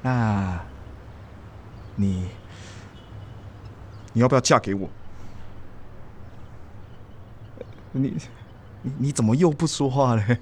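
A man's voice: medium pitch, slow, pauses often, slight background noise, close to an everyday voice. An actor playing an eager, chatty suitor in a radio drama, stammering and rambling as he talks. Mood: nervous, hopeful. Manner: stammering, rambling, sentence-final particles. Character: eager, chatty, flustered suitor proposing to a woman.

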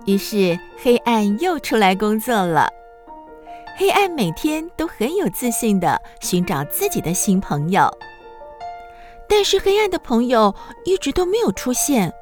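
Female narrator for children's audio drama: slow, highly animated, gentle and dramatic, over clear background music. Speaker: storyteller narrator. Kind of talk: expressive scripted children's story narration.

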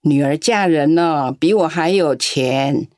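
An actress playing a lonely, kindly old grandmother in a radio play, speaking slowly and gently. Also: sits low, dry recording, studio quality. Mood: gentle. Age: older. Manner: slow, gentle, grandmotherly; tearful when upset.

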